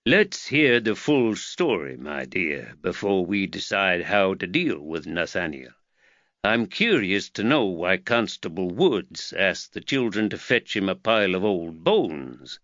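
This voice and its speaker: A man voicing a calm, soft-spoken older foreign shopkeeper with clear diction. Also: low voice, a little slow, no room sound, dull audio, heavily acted.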